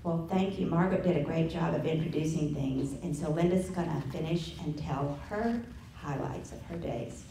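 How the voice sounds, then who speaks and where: A woman in her sixties, wrapping up briskly and handing the floor to the next speaker. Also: sits low. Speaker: lecture panelist. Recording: very echoey room, distant mic, noise.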